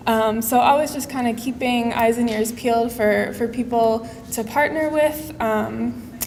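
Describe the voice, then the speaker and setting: A woman organizer speaking on a conference panel. She talks in an upbeat, halting way with frequent ums. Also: on the high side, steady tone, darkish tone. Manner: hesitant, many "um" fillers, upbeat. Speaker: advocacy staff organizer for the Midwest region. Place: conference hall. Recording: reverberant hall with faint noise.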